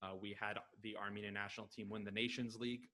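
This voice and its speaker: An American man from Los Angeles joins a podcast by headset from his home. His voice sits a little low, and he talks with eager, quick enthusiasm, sprinkling in fillers. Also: flat delivery, pushed and bright. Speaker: podcast guest. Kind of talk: casual interview answers. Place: home room, video call.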